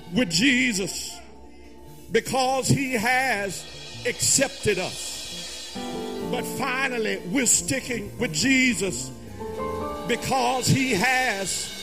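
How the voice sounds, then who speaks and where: A man preaching a fervent, musical sermon in a raspy, gravelly voice, in short, emphatic, repeated phrases that slide toward singing and shouting. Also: very high-pitched. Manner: emphatic repetition in short, drawn-out phrases with calls to the congregation.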